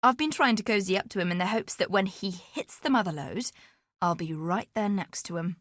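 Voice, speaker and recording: An actress playing a sly, greedy, flamboyant treasure hunter in a video game, with a wry, self-assured, theatrical delivery. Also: female voice, mid-range voice, animated, fairly quick, a bit bright. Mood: wry, scheming. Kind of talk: video game character dialogue.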